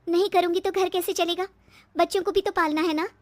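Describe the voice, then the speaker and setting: A humble but determined young mother, played by a woman narrator. She speaks very high and earnestly, pleading gently in cartoon-story style. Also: steady tone, fairly quick.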